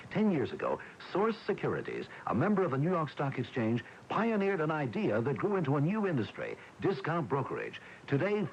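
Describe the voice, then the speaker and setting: A man reading a scripted radio commercial on an old AM broadcast recording. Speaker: commercial announcer. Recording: muffled old AM radio, faint rumble.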